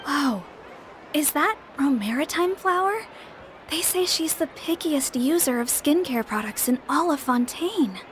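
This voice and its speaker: High-voiced, unhurried onlooker. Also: female voice, lively intonation, a little hiss or hum. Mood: impressed. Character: impressed, curious female onlooker in a crowd. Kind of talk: game dialogue.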